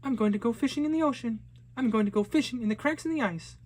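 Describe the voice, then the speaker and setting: A man doing a bold cheeky little girl. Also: fast talker. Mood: eager. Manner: high, loud and excited, cheeky. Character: bold, boastful young girl.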